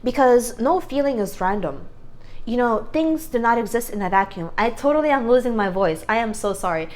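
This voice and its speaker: A woman vlogger talking to camera about self-acceptance in an earnest, rambling way, often stopping to rephrase. Her voice sits a little high, and she speaks close to a clip-on microphone. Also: expressive, moderate pace, darkish tone. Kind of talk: casual self-help talk to camera.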